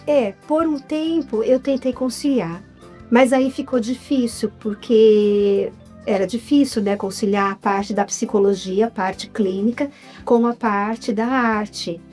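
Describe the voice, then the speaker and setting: A woman's voice: on the high side, slow pace, soft background music. A woman in her fifties with a Brazilian Portuguese accent, an actress chatting casually as an interview guest, now and then turning to the audience. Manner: lively, addresses the audience as "gente".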